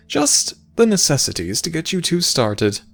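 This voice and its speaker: A man playing a playful, teasing god of the afterlife in a fantasy audio roleplay, speaking in a sly, measured, theatrical way. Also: fairly high pitch, gravelly, exaggerated voice. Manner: theatrical, sly, measured storytelling with dramatic pauses.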